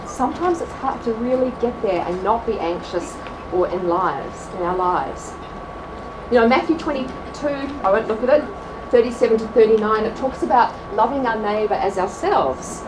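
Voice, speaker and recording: A woman with an Australian accent presenting a class to an audience at a church event, chatty and quick to laugh at herself. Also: fairly high pitch, animated. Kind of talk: live talk to a class. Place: large room with an audience. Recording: echoey hall from far off, crowd noise.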